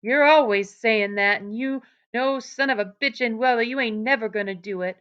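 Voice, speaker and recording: A woman reading aloud, voicing a stern old aunt who scolds in a sharp rural drawl. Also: fairly high pitch, normal speed, clean tone, highs cut off, voice pushed up, close to an everyday voice.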